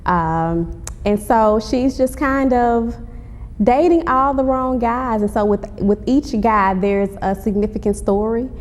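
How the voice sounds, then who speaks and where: A woman giving polite, conversational answers as an interview guest. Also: slow. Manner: polite, says ma'am to the interviewer. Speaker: interview guest, playwright and actress promoting her stage play. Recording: echoey hall, clear rumbling background noise.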